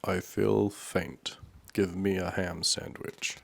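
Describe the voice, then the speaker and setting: A man voicing a fussy, pompous and feeble old storybook king with slow, emphatic delivery. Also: low-pitched, steady tone, creaky, no room sound, exaggerated voice. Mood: faint, alarmed.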